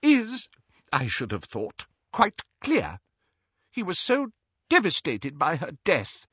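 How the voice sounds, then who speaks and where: Man putting on old gentleman wizard, wheezy, stiff, indignant, loyal, highish voice, deliberate pace, storybook character. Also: huge pitch swings, husky, no room sound, dull audio, full character voice. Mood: stiff with emotion. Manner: stiff, solemn, wounded dignity.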